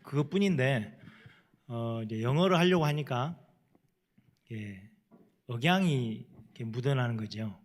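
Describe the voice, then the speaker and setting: A middle-aged Korean missionary with a Jeolla dialect, telling stories plainly in a church panel talk with self-deprecating humor. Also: male voice, mid-range voice, expressive, a little slow, pauses often. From South Korea. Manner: plain-spoken, self-deprecating, chuckles often. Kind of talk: panel talk, casual storytelling.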